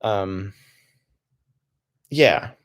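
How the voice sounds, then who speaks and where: A young man hosting a relaxed livestream from his home desk, talking softly in a calm, rambling way with many restarts. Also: lowish voice, drawn-out words. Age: young adult. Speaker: livestream host.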